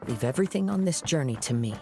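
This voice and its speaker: A man playing a gentle, composed young wandering swordsman in a video game, speaking softly in a measured, formal way. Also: a little high, expressive, vocal fry. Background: game music.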